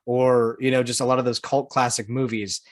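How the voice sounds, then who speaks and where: A man co-hosting a podcast, talking casually and informally with a halting, searching delivery. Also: medium pitch, level tone, no room sound. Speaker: podcast host.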